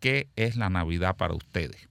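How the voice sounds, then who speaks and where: A man's voice: deep voice, lively intonation, creaky, fairly bright tone, slight background noise. A man hosting a community radio panel, speaking formally with frequent hesitations. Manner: formal, measured, with many "eh" fillers. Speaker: radio host.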